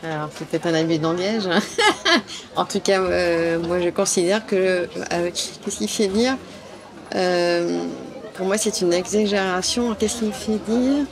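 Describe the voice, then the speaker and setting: A woman's voice: on the low side, steady tone, a little slow. Hesitant woman talking over crowd chatter. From France. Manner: hesitant, many fillers and restarts. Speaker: street interviewee. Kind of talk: hesitant street interview answers. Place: outdoors at a summer riverside event. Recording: outdoor event with crowd noise.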